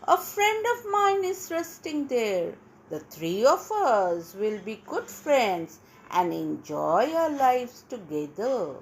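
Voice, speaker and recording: A courteous, clever rooster from a storybook, voiced by a woman. The speech is slow and measured, over faint noise. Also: fairly high pitch, big pitch swings, exaggerated voice, low-quality recording. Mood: friendly, cunning. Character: polite, clever rooster in a children's fable.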